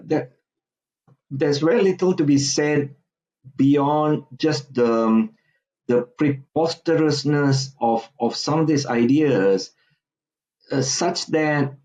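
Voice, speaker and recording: A man's voice: medium pitch, darkish tone, lo-fi sound. A man from Singapore talking as a podcast guest, hesitant and full of "uh"s and restarts. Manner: halting, many fillers and restarts. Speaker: guest commentator. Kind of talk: podcast interview.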